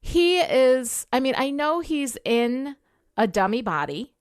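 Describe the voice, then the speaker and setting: A woman's voice: high voice, sing-song, slow, dry recording, clean studio sound. A woman co-hosting a podcast, talking casually and emphatically with blunt, sweary opinions. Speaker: podcast co-host, TV show critic.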